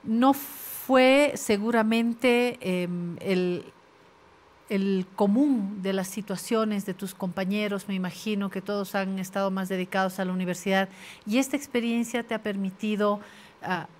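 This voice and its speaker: A woman with a Bolivian accent hosting a news interview, phrasing her questions in a measured, deliberate way. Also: mid-range voice, fairly even intonation. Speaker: interviewer, host.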